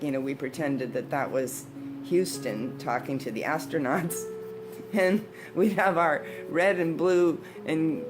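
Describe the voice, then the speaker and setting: A woman in her sixties speaks in a distinctly low register. She reminisces casually and takes her time, in a conversational storyteller's manner. Guitar music plays clearly behind her voice. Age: 60s. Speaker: storyteller, video host. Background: instrumental guitar music.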